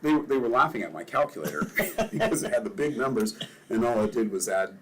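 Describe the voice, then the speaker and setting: An older man from Maryland, a ward councilman, telling relaxed stories in a casual interview and chuckling often. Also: medium pitch, a little fast, slight background noise, low-quality recording.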